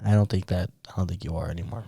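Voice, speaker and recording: A man's voice: low-pitched, fairly even intonation, racing, gravelly, warm tone, slightly rough, no room sound, studio quality. A man co-hosting a podcast, chatting casually, laughing often and restarting his sentences. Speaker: podcast co-host. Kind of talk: casual chat.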